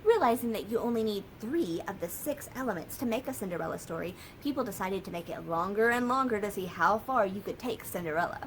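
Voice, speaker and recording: An American woman, a children's librarian, telling a story and sharing books for kids in a lively, sing-song way. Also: medium pitch, fairly quick, hardly any pauses, a little hiss or hum, low-quality recording.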